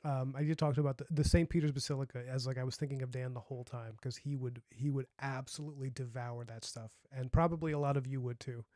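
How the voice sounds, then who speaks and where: A man's voice: mid-range voice, steady tone, fast talker, hardly any pauses, warm tone, no echo, studio quality. A young man with a light, youthful voice, chatting casually on a livestream and rambling with lots of fillers. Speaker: streamer and comic artist.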